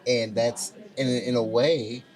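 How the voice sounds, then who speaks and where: A man hosting a casual podcast, talking in an upbeat, animated way and laughing easily. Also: mid-range voice, slow pace, over music. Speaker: podcast host. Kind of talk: casual conversation.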